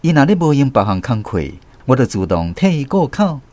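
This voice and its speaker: A man's voice: medium pitch, big pitch swings, a little fast, warm tone, lightly acted. A man playing a grown storyteller reflecting on his boyhood, narrating a radio drama with a Taiwanese accent. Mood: reflective.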